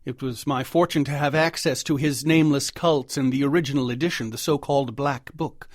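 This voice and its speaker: A man reading a horror story as a narrator, formal, measured and grave in his delivery. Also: medium pitch, steady tone, no room sound, clean studio sound. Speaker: audiobook narrator.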